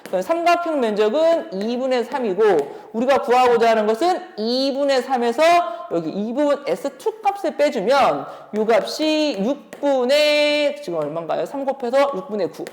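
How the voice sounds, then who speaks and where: A woman gives a step-by-step math lecture in a high-pitched, very animated voice. She often turns her explanations into questions as she works. The room adds heavy echo and a long reverb. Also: moderate pace, hardly any pauses. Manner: instructive, poses questions while working through steps. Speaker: math academy instructor.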